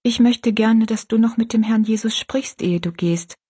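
Woman doing a pious little girl for an audio story, higher voice, bit husky, earnest and gentle. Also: moderate pace. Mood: gently urging. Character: pious, eager young girl explaining the Bible.

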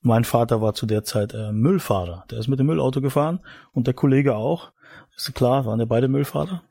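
Husky, mellow man with a creaky edge. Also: medium pitch, a little fast, dry recording. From Mecklenburg-Vorpommern, eastern Germany. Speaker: podcast guest, missionary. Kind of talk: casual autobiographical storytelling. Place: studio.

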